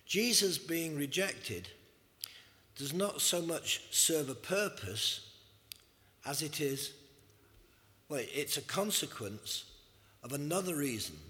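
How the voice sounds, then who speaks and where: Male preacher, slightly hoarse, slow measured sermon delivery, long church reverb. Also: medium pitch, bright, forceful tone.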